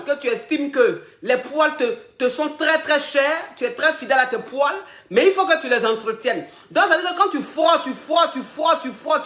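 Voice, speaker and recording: A woman with a slight head cold, giving coaching advice on a live stream, repeating herself and bursting out loudly on key points. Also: sits high, echoey room. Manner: emphatic and repetitive, loud bursts on key points. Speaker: relationship coach.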